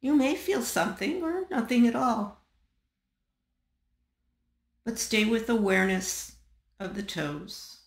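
A woman guiding a body scan meditation in a calm, measured manner, leaving long pauses between short phrases. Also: a little high, reverberant. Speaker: meditation guide.

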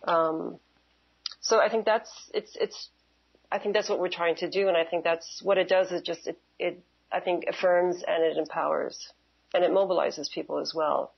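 A woman's voice: lowish voice, fairly even intonation, moderate pace, gravelly, slightly rough, dull audio. A woman in her forties from Canada and Kuwait, answering interview questions conversationally in a hesitant, reflective way, often rephrasing as she goes. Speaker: interview guest, museum professional.

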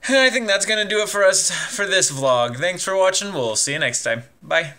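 A man's voice: high-pitched, lively intonation, moderate pace, bright, forceful tone, no room sound, faint music behind. A young man hosting a casual road-trip vlog, talking easygoing and straight to the camera from his car. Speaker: vlogger host. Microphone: camera mounted in car, facing him.

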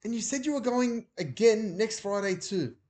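Man playing a whiny young boy, high and hushed, begging in a storybook reading. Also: animated, normal speed. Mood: pleading. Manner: hushed, pleading whisper.

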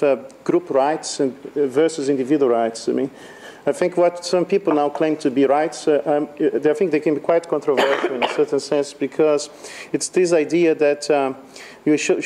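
A man's voice: on the high side, dark tone. A man on a conference law panel, speaking hesitantly and searching for his words.

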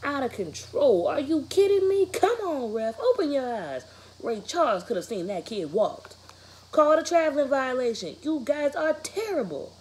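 A woman's voice: high voice, very animated, normal speed, a little mellow, voice pushed up, rough recording. A woman voicing a cocky, sulky teenage boy basketball player in a novel read-aloud. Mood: indignant.

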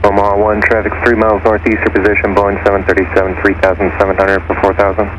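Over an aviation radio, a male air traffic controller gives clipped instructions. He speaks fast in a low monotone. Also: low-quality recording.

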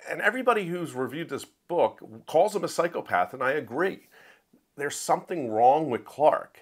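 A man hosting a review show, talking in a casual, opinionated monologue and getting emphatic when he makes a point. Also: medium pitch. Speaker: host of a book review show.

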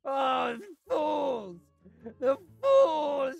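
A performer voicing an arrogant, exasperated creature who thinks itself a genius, raspy and theatrical, in a comic gameplay series. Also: medium pitch, animated, a little slow, pushed and bright, no echo, muffled recording, faint music behind, full character voice. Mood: gloating. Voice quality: raspy, gravelly. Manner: theatrical, haughty, melodramatic rants. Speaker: voiced character in a gaming series. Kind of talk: voice-acted gameplay comedy.

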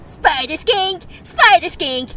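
Mocking heckler, extremely high, drawn-out sing-song taunts for a comic dub. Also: male voice, animated, pushed and bright, slight background noise, full character voice. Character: shrill mocking singer of a teasing jingle.

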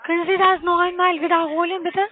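Very high-voiced foreign woman on the phone. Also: little pitch movement, a little fast. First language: not German. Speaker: cold caller selling online investments. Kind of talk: phone sales pitch. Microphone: telephone call. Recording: muffled narrowband phone line.

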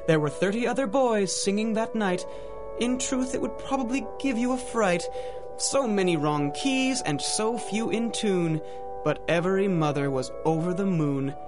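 A man giving theatrical, dramatic narration in rhyming storybook verse for a radio drama. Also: high voice.

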